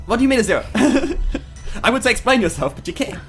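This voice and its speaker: A man chats as a player in a tabletop game, his pitch jumping around in a lively way. His delivery is casual and joking, with faint music behind him. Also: fast talker. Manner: joking, playful. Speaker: player on a tabletop role-playing podcast. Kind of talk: casual banter.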